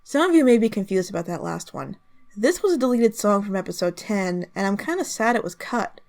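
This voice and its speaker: A woman's voice: medium pitch, lively intonation, brisk, a little mellow, soft background music. A woman narrating a review in the style of an online video commentary.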